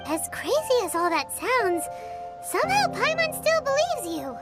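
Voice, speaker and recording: A woman voicing a tiny, chirpy floating girl companion from a game, blunt and excitable, heard over loud background music. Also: measured pace, exaggerated voice. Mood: indignant. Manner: squeaky, excitable, blurts out thoughts.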